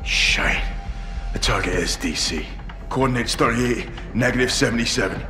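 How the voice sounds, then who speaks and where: A man's voice: medium pitch, moderate pace, music in the background, lightly acted. An actor playing a terse special forces sergeant in a military video game, clipped and gritty as he reports. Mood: grim focus. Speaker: soldier character. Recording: echoey, with game score behind.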